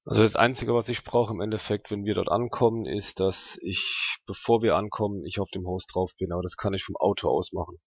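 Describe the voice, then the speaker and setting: Male tabletop player, casual planning, creaky fry, dry close recording. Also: fairly low pitch, steady tone, fairly quick, few pauses, dry recording, lo-fi sound. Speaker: player in a tabletop role-playing game. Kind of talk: casual planning talk during a game.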